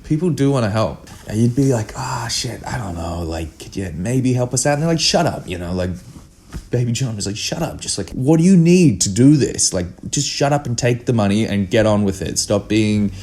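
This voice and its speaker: A man's voice: mid-range voice, lively intonation, normal speed, darkish tone, a little hiss or hum. A man speaking as a filmmaker guest in a casual interview, earnest and emphatic, often repeating himself for emphasis.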